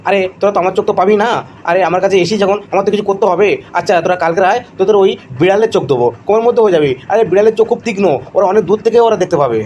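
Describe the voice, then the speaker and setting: A man plays a crooked cartoon quack doctor who brags and blusters. The voice is high for a man and races along very quickly, with some noise behind it. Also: a little mellow, lightly acted. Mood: indignant, scheming. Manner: bragging, blustering. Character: boastful shady quack doctor, male, comically crooked. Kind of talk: comic cartoon dialogue.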